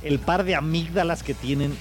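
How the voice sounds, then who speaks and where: A man offers his view on a sports panel in a thoughtful, conversational way. His voice is slightly high, with faint music beneath. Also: fairly even intonation, normal speed.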